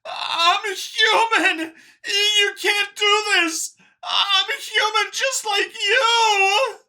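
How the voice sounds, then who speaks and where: A man's voice: extremely high pitch, steady tone, extremely slow, sharp tone, shouting, voice pushed up, heavily acted. A man voicing a smug, fanatical young cybernetic clone in a storybook reading, with grandiose, emphatic delivery. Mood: screaming, desperate.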